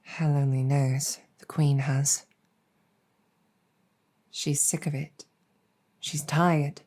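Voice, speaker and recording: A woman playing a gentle, earnest, world-weary demon general in an ASMR roleplay, speaking softly and reassuringly with measured hesitation. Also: deep voice, flat delivery, exaggerated voice. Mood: weary, grave. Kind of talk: improvised ASMR roleplay storytelling.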